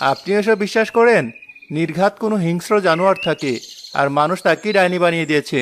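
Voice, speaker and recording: A man's voice: sits high, normal speed, a little hiss or hum. A man playing a young newcomer woodcutter in a cartoon, polite, inquisitive and sceptical. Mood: curious.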